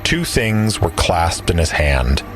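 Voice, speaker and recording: A man's voice: sits low, big pitch swings, slow pace, over music. A man narrating an audio drama as a storyteller, reading scripted prose. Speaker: narrator and player.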